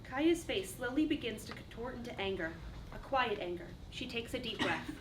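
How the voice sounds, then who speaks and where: Fairly high-voiced woman narrator, distant. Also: lively intonation, normal speed. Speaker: narrator reading stage directions. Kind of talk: scripted narration. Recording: distant mic, clearly noisy room.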